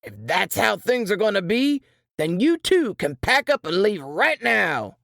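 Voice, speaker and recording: A woman's voice: medium pitch, sing-song, measured pace, gravelly, a bit bright, no echo, clean studio sound, voice pushed up, full character voice. A woman voicing a belligerent, slurring male tavern manager who has been drinking, in a story reading. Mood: angry.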